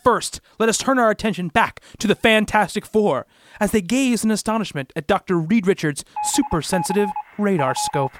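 A man's voice: sits high, moderate pace. A man narrating a comic-book radio play in a theatrical, portentous scripted style.

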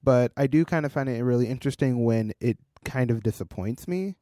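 A hoarse man co-hosting a casual tech podcast. He laughs often and tells loose, rambling stories. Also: mid-range voice, fairly quick, dark tone, dry recording, studio quality. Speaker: podcast co-host, independent designer and developer. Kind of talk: casual chat.

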